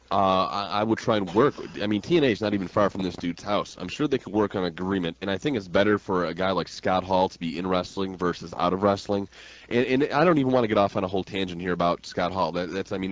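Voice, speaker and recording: A man with a low voice hosts a talk radio show. He speaks quickly and informally, like a fan chatting with buddies. The audio is slightly dull and lo-fi. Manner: informal, frequent "man" and "dude". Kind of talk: opinionated sports talk radio chat.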